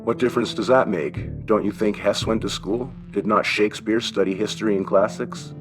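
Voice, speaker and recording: A man's voice: lowish voice, steady tone, moderate pace, over music, lightly acted. A man voicing a kindly, wry old German shopkeeper in an audiobook story, with a thin accented voice and avuncular questions. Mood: wry, encouraging. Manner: avuncular, chuckling, rhetorical questions.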